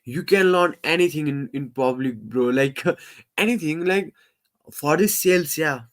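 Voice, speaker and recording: A man hosting a casual live stream, talking in halting English full of fillers and restarts, and he says he stammers. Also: a little high, animated. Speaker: livestream host.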